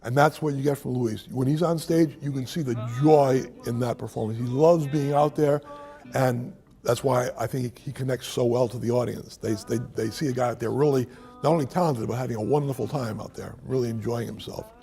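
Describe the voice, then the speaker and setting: Mellow, measured male theater teacher. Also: mid-range voice, fairly even intonation, gravelly, soft background music. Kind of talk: interview.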